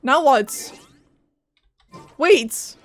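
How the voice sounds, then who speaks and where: A woman's voice: high voice, animated, very slow. A young woman game streamer reacting casually to a story as she plays, in a playful, slangy way. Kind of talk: casual live gameplay reaction.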